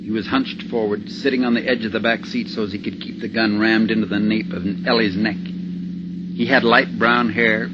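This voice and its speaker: An actor in a 1950s radio drama playing a steady, wry middle-aged husband, with a terse, hard-boiled way of telling it. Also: male voice, fairly low pitch, heavy background noise, natural voice. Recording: dull old radio recording.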